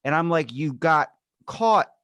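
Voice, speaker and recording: A man's voice: a little high, expressive, a little slow, a bit bright, clear, resonant tone, no room sound. A man hosting a podcast, chatting casually and joking, sometimes with mock outrage. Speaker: podcast host. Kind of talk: casual comedic chat.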